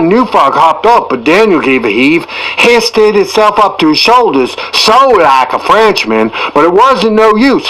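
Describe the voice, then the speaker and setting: A man's voice: high voice, sharp tone, full character voice, rough recording. A man voicing a garrulous rural yarn-spinner in an audiobook, earnest and admiring, rambling in colloquial country dialect. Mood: earnest storytelling. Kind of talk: first-person tall-tale narration in audiobook.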